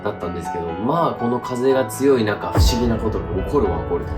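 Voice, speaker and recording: A man's voice: lowish voice, expressive, fast talker, loud music behind the voice. A man hosting a haunted-spot exploration, chatting casually as he presents.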